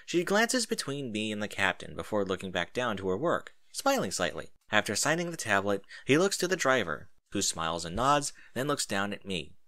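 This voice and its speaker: A man reads a first-person story expressively as its narrator. His pitch sits fairly low but swings widely, with a bright, forceful tone and a dry, close sound. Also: very animated, normal speed, few pauses.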